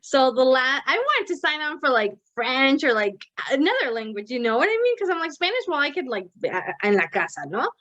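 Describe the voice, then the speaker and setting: A woman's voice: high-pitched, big pitch swings, a little fast, few pauses, a bit bright, dull audio. A young woman the United States, talking casually as a guest in an interview, rambling with many fillers and restarts and dropping in Spanish words. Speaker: guest, company co-founder. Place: Mexico City.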